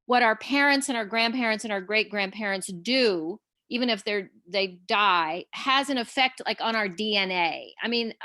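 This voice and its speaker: Rambling bright-voiced woman playwright. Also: medium pitch, moderate pace, hardly any pauses, no echo. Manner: rambling, thinks aloud with restarts. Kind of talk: casual Zoom Q&A chat. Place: home, video call.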